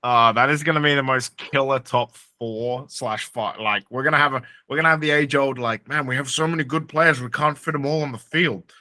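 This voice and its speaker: A man co-hosting a casual sports podcast, excitable and quick to laugh. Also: mid-range voice, no echo. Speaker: podcast co-host.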